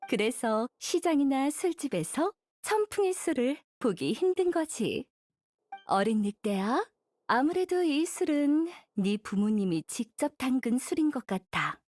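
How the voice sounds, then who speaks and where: A woman voicing a composed, bookish female mentor in an anime game, playful and explanatory in an unhurried way. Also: high-pitched, darkish tone, full character voice.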